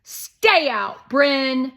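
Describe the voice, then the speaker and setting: A woman's voice: high voice, animated, extremely slow, gravelly, sharp tone, voice pushed up, heavily acted. A woman voicing a bookish, particular young boy in a storybook, grumbling and pointed, often drawing words out. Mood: annoyed. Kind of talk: children's storybook read-aloud.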